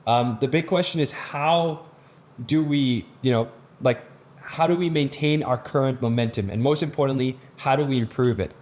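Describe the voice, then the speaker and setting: Young male founder, mid-pitched voice, earnest and motivational delivery with restarts and fillers, running a team training. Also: normal speed, a little mellow. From Netherlands. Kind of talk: casual vlog intro, then presentation-style training.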